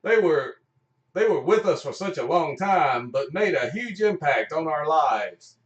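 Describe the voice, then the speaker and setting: A man playing an excitable, wistful old space captain in an amateur comic radio play. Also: on the high side, unhurried, lo-fi sound, voice pushed up. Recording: roomy, mic at a distance.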